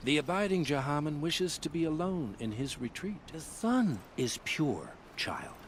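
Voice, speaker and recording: An actor playing a sanctimonious, stubborn old sun priest in a video game, preaching with pompous solemnity. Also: male voice, a little high, sing-song, a little slow, slight background noise. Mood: solemn, pious.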